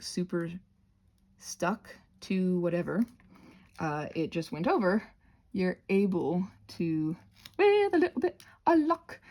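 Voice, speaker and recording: A woman hosting a craft channel in a casual ramble, playful and whimsical, drifting onto tangents and talking to herself, with a slightly husky voice. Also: lowish voice, a little mellow. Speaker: host of a craft and ASMR channel.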